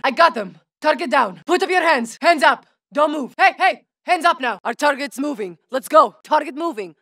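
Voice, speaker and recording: A woman's voice: high-pitched, fairly bright tone, no echo. An actress voicing a tough female special forces operator in a video game, giving clipped tactical callouts. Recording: dry studio recording.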